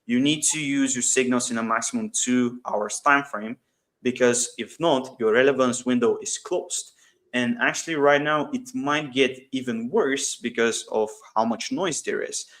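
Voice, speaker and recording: A man talks as an interview guest in an explanatory business style. His voice sits at a middle pitch. He is informal and emphatic, often leaning on anecdote to make a point. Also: measured pace, fairly bright tone. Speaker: guest, startup CEO. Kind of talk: explanatory business talk in an online interview session.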